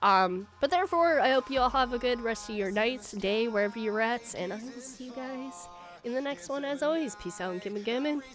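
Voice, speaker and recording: A woman's voice: a little high, fairly quick, music in the background. A young woman with a stuffy nose, hosting a gaming channel and giving her viewers a rambling, casual update full of fillers. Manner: rambling, many fillers and restarts.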